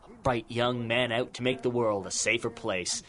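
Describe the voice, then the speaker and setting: A man's voice: mid-range voice, steady tone, moderate pace, fairly bright tone, close to an everyday voice. Man playing a menacing, mocking crooked old-school cop. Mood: smug, taunting. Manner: folksy taunting tough-guy slang. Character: cynical corrupt older cop, menacing and mocking. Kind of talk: 1930s radio crime drama acting.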